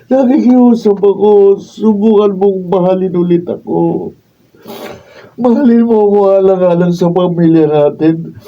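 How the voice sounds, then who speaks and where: An actor playing a middle-aged husband in a melodramatic Filipino radio drama, desperate, pleading and jealous. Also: male voice, high-pitched, unhurried. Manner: melodramatic, emphatic. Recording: muffled, distant microphone.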